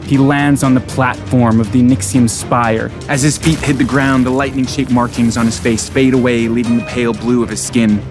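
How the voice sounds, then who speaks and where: A man narrates descriptive fantasy scenes from a script. His pitch is moderate, and music plays clearly beneath him. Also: fairly even intonation, normal speed, few pauses. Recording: prominent music bed behind narration.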